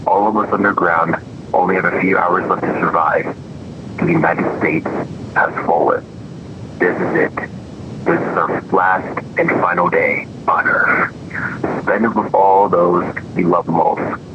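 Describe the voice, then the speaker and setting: A man's voice: fairly even intonation, unhurried. A man playing a remorseful president giving a solemn, formal doomsday address in a fictional emergency broadcast. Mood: grave, remorseful. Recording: muffled, noisy broadcast with rumble.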